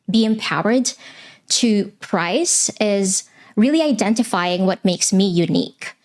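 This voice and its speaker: Measured woman from the Philippines. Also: mid-range voice. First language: not English. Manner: earnest and measured, with frequent ums. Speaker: web designer guest. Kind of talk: live interview answers.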